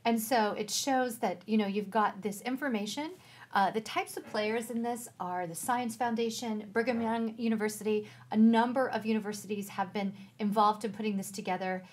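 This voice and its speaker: A woman's voice: mid-range voice, normal speed. A woman co-hosting an educational show, explaining a resource in a casual presenting style.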